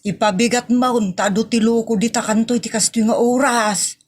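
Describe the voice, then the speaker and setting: Audio comedy: actress as meddling Ilocano aunt, fairly high voice, exasperated and scolding. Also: female voice, steady tone, normal speed, breathy edge. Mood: nagging. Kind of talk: comedy audio drama acting.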